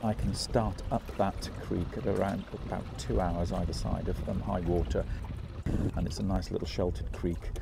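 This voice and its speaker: A man in his sixties filming himself aboard a small open sailing boat on an estuary. He talks in a relaxed, chatty, self-mocking way, with many fillers. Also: low-pitched, normal speed. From England. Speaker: sailing vlogger. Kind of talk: casual vlog narration. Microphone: action camera mounted on the boat. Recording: clear wind and water noise.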